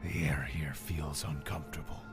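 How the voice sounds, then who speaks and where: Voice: rumbling low, steady tone, moderate pace, faint music behind. An actor voicing an ominous, wary spirit-like companion with a terse, foreboding manner in an audio drama.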